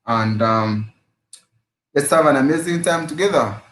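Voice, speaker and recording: A man's voice: medium pitch, unhurried, fairly bright tone, slight background noise. A man hosting a casual fitness live stream, greeting viewers by name and repeating his phrases with energy. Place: his room at home after work. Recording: echoey room.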